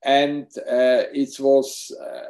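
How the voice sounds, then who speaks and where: Casting: older German-accented man, very slow, dark mellow tone, hesitant speech, laptop mic at home. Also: medium pitch, level tone. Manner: halting, searching for English words. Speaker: psychotherapist and film subject. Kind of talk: Q&A on a video call. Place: home room with bookshelves. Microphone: laptop webcam mic on video call.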